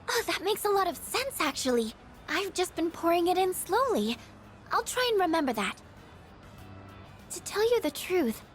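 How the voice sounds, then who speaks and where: A woman playing a shy, gentle, insecure teenage girl in a video game, with a hesitant, apologetic, stammering way of talking. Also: very high-pitched, heavily acted. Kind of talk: video game character dialogue voice acting.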